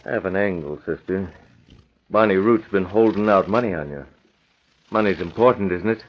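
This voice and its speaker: An actor playing a tough, menacing masked vigilante in an old radio crime drama, commanding and hard-boiled. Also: male voice, sits low, moderate pace. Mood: sly, pressing. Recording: muffled, noisy old radio recording.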